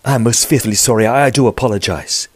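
A man's voice: mid-range voice, quick pace, husky, no echo, studio quality, natural voice. A man playing an earnest, polite Edwardian estate agent who narrates a mystery in an audio drama. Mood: hesitant, apologetic. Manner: formal, polite. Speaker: narrator and character.

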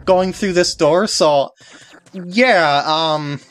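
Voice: medium pitch, drawn-out words, slight background noise. A game walkthrough host giving casual, rambling commentary with mock exasperation.

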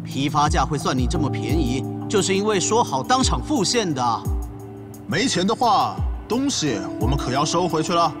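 Game bit part: pushy male supplier, highish animated voice, demanding, heavy score behind. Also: moderate pace, music in the background. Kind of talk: Mandarin video game voice acting.

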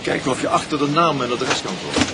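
A man's voice: medium pitch, brisk, over loud music, close to an everyday voice. A man with a Netherlands Dutch accent playing a weary, matter-of-fact police detective in a radio drama, with clipped questions. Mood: grave, businesslike. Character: weary, sleepless male police detective investigating murders. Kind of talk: naturalistic radio drama acting.

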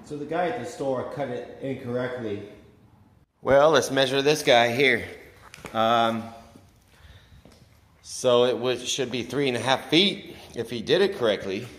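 Middle-aged man, casual DIY presenter, slow delivery, roomy phone recording. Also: mid-range voice, a bit bright. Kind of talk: casual how-to narration to camera. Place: garage, later outside by a house. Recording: roomy echo, faint background noise.

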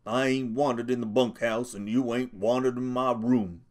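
A man's voice: medium pitch, steady tone, unhurried, lightly acted. A man doing a proud bitter stable hand. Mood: hostile. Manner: sharp, curt.

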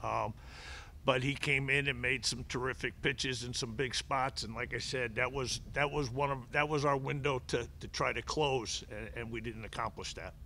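A man's voice: medium pitch, steady tone, normal speed, sharp tone, a little hiss or hum. A middle-aged man, a head baseball coach, answering press conference questions at a table mic, often restarting and repeating words. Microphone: table mic in front of him.

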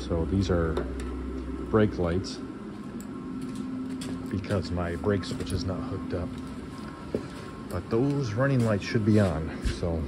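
Deep-voiced man talking through garage work. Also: slow pace, pauses often, heavy background noise. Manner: relaxed, thinking aloud while working. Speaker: vlogger restoring an old truck. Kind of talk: casual workshop narration. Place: large garage workshop. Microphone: handheld or body camera.

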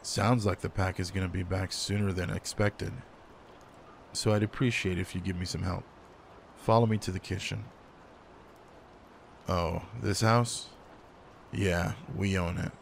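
A man playing a gruff but caring werewolf pack alpha in an intimate roleplay audio drama, speaking in a low-key, casual, matter-of-fact way. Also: fairly even intonation, a little slow, pauses often. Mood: calm, welcoming. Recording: noticeable background noise.

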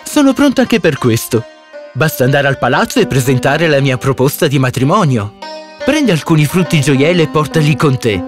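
A man's voice: a little high, big pitch swings, moderate pace, faint music behind. A man playing a bold, eager poor boy in a children's fairy tale, exclamatory and earnest. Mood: confident.

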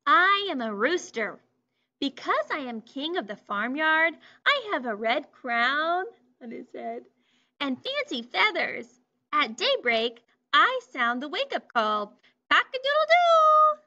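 A woman reading aloud as a proud farmyard rooster who crows loudly. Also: high-pitched, sing-song, takes it slowly, full character voice. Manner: gentle read-aloud voice with a loud crowing call. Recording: muffled lo-fi recording.

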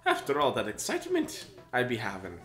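A man hosting casual gameplay commentary, joking, swearing, and breaking into high-pitched laughter. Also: huge pitch swings, a little fast, fairly bright tone. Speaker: gaming channel host. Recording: roomy echo with game music behind. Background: game music.

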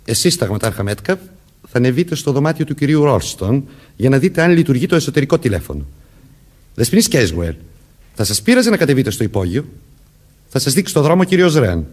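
A man's voice: mid-range voice, sing-song, a little mellow. An actor playing a murderer who poses as a commanding policeman, vengeful and childlike underneath, in a theatrical radio drama manner. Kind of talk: Greek radio drama acting.

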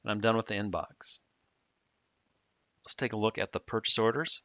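A man narrating a software tutorial, explaining each step plainly in the manner of a demonstrator. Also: fairly low pitch, steady tone, normal speed, no room sound.

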